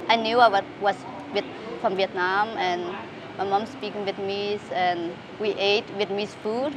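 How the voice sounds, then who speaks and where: A woman's voice: medium pitch, steady tone, moderate pace, a crowd in the background, rough recording. A woman in her thirties from Denmark, answering interview questions in a hesitant, casual way with many fillers and restarts. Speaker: interview guest. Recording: street recording with clear background noise.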